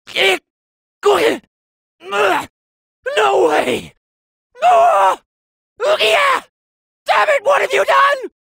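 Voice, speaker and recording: A man voicing a pompous, petulant, gluttonous fat cat god of destruction in an anime video game, with a gravelly, strained, blustering delivery. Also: very high voice, bright, forceful tone, no room sound, clean studio sound, exaggerated voice. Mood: battle grunts, then furious, blustering. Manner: bratty, blustering, imperious.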